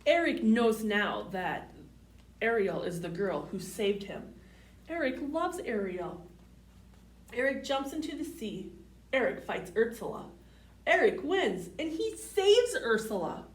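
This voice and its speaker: A woman reads a children's story aloud in a high, very animated voice, pausing for little asides to her young listeners. She takes her time over each short sentence. The sound is roomy, as if the microphone is some way off. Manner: simple short sentences, expressive, addresses children with questions. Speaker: library storytime host reading picture books. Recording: roomy, distant mic, faint background noise.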